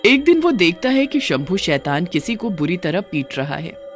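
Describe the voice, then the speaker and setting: A man's voice: sits high, sing-song, normal speed, soft background music. A man narrating a scripted cartoon story.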